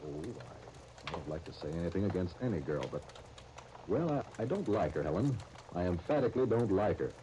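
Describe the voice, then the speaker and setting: Deep, mellow, grudging 1930s radio leading man. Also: very animated, fairly quick. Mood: irritated, disdainful. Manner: hesitant starts, wry, grumbling. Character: Chris, blunt, grudging young male shop partner. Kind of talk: 1930s radio soap opera acting. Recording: muffled, hissy 1939 radio broadcast recording.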